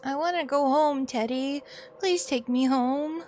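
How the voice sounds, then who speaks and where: A woman's voice: high voice, measured pace, a little mellow, a little hiss or hum. A woman voicing a frightened, homesick young child in a game, timid and pleading. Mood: scared pleading.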